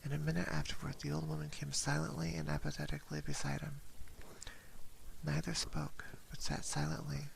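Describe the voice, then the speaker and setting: Hushed, very creaky man reading ASMR. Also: a little high, monotone, brisk. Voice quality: breathy, whispery. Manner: hushed, slips and corrects words while reading. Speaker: ASMR streamer reading a story aloud. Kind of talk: ASMR scripted story reading, soft and partly whispered. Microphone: close binaural ear microphone. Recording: close binaural mic with clear background noise. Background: rain ambience.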